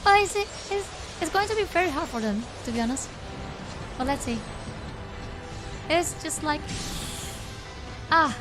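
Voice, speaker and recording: A woman's voice: sits high, lively intonation, a little slow, long pauses. A woman with an Indonesian accent commentates a game live, chatting playfully and informally with viewers and often repeating her phrases. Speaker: esports livestream caster. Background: music.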